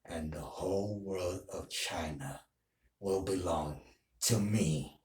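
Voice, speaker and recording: A man playing a vengeful anime villain bent on ruling the world, delivering a menacing, boastful, halting monologue. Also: low voice, a little mellow, far from the mic. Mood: grandiose, threatening. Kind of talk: solo anime villain role play. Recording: echoey room.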